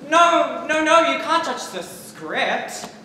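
A man's voice: very high-pitched, big pitch swings, slow pace, sharp tone, full character voice. A teenage boy acting an exasperated, controlling director of a school musical, speaking emphatically on a theatre stage. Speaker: student stage actor. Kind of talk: scripted stage comedy acting. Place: large school theatre stage, audience present. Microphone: far camera in audience. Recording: echoey, noisy auditorium stage recording.

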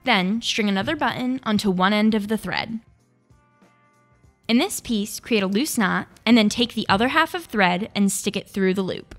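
A woman's voice: medium pitch, normal speed, a bit bright. A young woman hosting a craft tutorial, reading scripted step-by-step instructions. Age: young adult. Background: background music.

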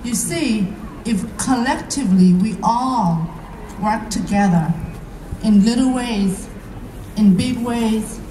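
A woman with a Somali accent addresses an outdoor rally in slow, measured phrases, leaving pauses between them. Her voice is on the high side and comes over a PA from far off, echoing through noisy surroundings. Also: warm tone. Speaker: rally speaker. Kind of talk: public speech at a rally. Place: outdoor rally, recorded from within the crowd. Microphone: podium microphone heard from far camera. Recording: distant, echoey outdoor PA with noise.

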